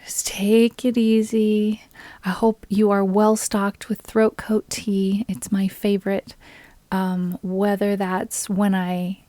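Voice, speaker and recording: A woman streamer chatting casually with her live audience, relaxed and friendly, close to a USB microphone. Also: medium pitch, dry recording. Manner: slow, relaxed and friendly, greets viewers by name, with small laughs. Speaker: streamer and ukulele player. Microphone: USB microphone on a boom arm with a pop filter.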